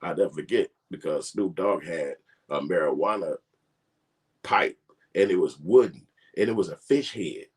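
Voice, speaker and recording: Man in his 40s, very low husky voice, slangy laid-back storyteller. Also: normal speed. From Chicago. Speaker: filmmaker vlogger. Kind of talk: casual storytelling.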